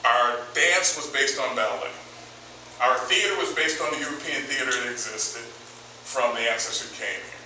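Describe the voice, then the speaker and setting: A man giving a public lecture on theater history, emphatic and deliberate, stressing key words. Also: medium pitch, sharp tone, a little hiss or hum. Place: large dim lecture room with projector screen. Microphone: far camera. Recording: echoey room from far off.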